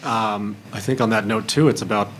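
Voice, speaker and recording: A man's voice: lowish voice, fairly even intonation, moderate pace, fairly bright tone, a little hiss or hum. A man speaking candidly as a film panelist in a roundtable discussion, acting out bits of remembered conversation.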